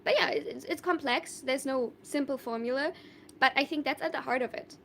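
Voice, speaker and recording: A woman's voice: high voice, a little fast, gravelly, fairly bright tone, a little hiss or hum. A young woman from Germany chatting casually on a live stream, answering viewer questions in a thoughtful, rambling way with many fillers and restarts. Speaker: livestreamer.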